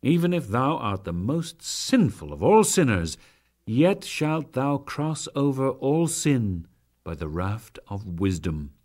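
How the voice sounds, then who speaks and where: A man narrates sacred verse as a dramatic reading, solemn and measured, with deliberate phrasing and frequent pauses. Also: medium pitch, big pitch swings, darkish tone.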